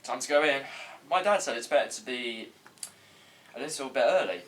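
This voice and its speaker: A man's voice: medium pitch, expressive, moderate pace, fairly bright tone. A man reading a comedy script casually, in a blunt, defensive way. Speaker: actor at a table read. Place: indoor room, group table read. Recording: distant mic, faint room noise.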